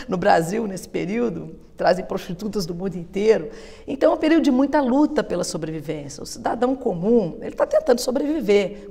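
A woman in her fifties, a researcher answering interview questions in a steady, explanatory way in a TV studio. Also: mid-range voice, gravelly, in a large hall. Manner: steady, fluent, explanatory, with frequent tag questions. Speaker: historian guest. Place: television studio. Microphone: lapel mic.

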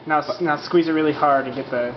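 A man's voice: a little high, steady tone, fast, dull audio, over a noisy crowd. A man filming a friend in a kitchen, eagerly coaching him and reacting in casual chat. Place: home kitchen.

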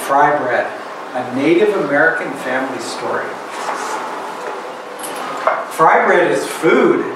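A middle-aged man reads a picture book aloud at an unhurried, deliberate pace, lingering on each phrase and stopping to quiz the children. His voice sits a bit higher than most men's. It is picked up by a camera across an echoey, noisy classroom. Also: distant mic. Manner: slow, expressive storybook reading with pauses for questions. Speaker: guest reader. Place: elementary school classroom. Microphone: far camera.

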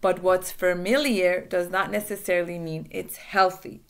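A woman's voice: fairly low pitch, fairly even intonation, normal speed, vocal fry, clean tone. A woman in her forties, a native Farsi speaker, giving earnest self-help advice to camera, repeating key phrases, recorded by a laptop webcam in a small room.

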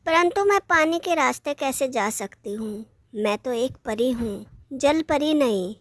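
A woman's voice: sits high, animated, a little slow, dry recording, studio quality. A woman voicing a young forest fairy, concerned and helpful. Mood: worried.

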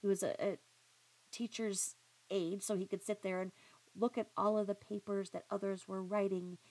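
A woman giving critical reaction commentary in a pointed, halting, questioning manner. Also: medium pitch, level tone, darkish tone, rough recording. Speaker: commentary vlogger.

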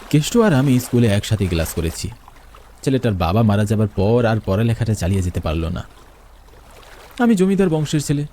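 A man's voice: medium pitch, mellow, slight background noise. A man narrating a first-person horror story in a dramatic, suspenseful way.

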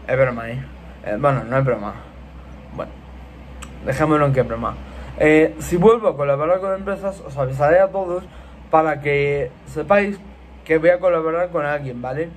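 A man's voice: fairly high pitch, slight background noise. A man, answering follower questions in a casual, repetitive vlog to a webcam in a small room. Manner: chatty and repetitive, gesturing a lot with his hands. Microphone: laptop webcam.

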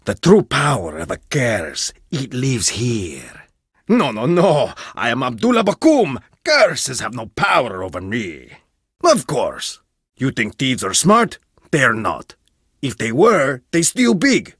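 A blunt, husky-voiced archaeologist. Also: male voice, mid-range voice, wildly swooping pitch, takes it slowly, a bit bright, no echo, studio quality, full character voice. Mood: stern. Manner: blunt, haughty, dramatic swings in delivery. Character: stern, proud male archaeologist, blunt and distrustful. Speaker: game character voice. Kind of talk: video game voice lines.

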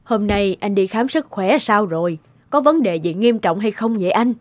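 Woman acting a gentle, wistful elderly wife. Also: medium pitch, expressive, moderate pace, no echo, close to an everyday voice. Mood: gentle, hesitant. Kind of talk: animated drama dialogue.

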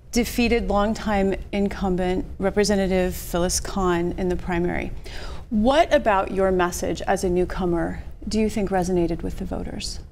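A middle-aged woman with an American accent, moderating a television interview with composed, clearly phrased questions. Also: medium pitch, a little mellow, a little hiss or hum. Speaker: TV program moderator. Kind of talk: public affairs interviewing. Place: small indoor TV studio. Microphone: lapel mic.

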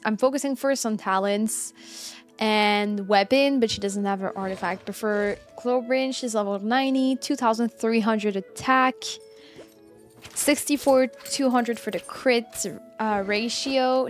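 A woman's voice: mid-range voice, measured pace. A woman in her twenties, a game streamer, chatting casually while she plays. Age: 20s. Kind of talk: casual live chat while gaming. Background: game music.

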